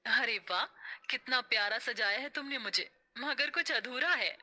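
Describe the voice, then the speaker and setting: This is a young modern city wife played by a woman from a cartoon melodrama. She has a high, very bright voice. Her manner is outspoken and trusting. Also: normal speed. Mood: curious. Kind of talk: dramatic cartoon storytelling.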